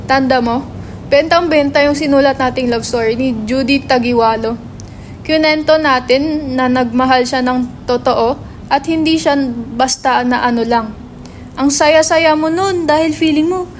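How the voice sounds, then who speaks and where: Babaeng gumaganap na brusko, palamurang binata, mataas ang boses. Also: female voice, high-pitched, fairly even intonation, a little slow, a little hiss or hum. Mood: argumentative. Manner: swearing, slangy, insistent. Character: brash, foul-mouthed young male writer running a website. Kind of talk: dramatic reading of a stage script.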